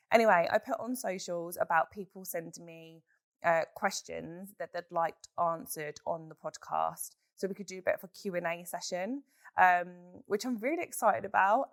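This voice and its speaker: A woman hosting a solo podcast Q&A, hoarse from a chest infection, talking in a frank, chatty way. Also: fairly low pitch, dry recording, studio quality. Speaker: podcast host. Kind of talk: solo podcast Q&A, casual chat.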